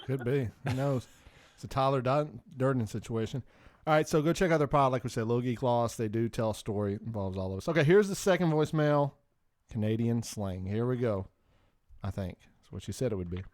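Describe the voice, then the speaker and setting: A man's voice: mid-range voice, lively intonation, a little fast, darkish tone, dry recording, studio quality. A man hosting a comedy podcast in casual, bantering talk. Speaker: podcast host.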